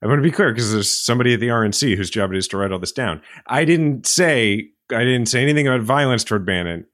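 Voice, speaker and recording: A man's voice: medium pitch, expressive, quick pace, a bit bright, no room sound, studio quality. A man co-hosting a political podcast, speaking casually and emphatically. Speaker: podcast co-host.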